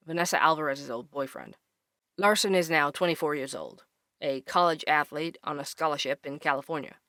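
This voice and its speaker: A man's voice: fairly high pitch, pushed and bright, no echo, studio quality. A man playing a serious, composed investigative journalist, narrating scripted documentary-style audio drama.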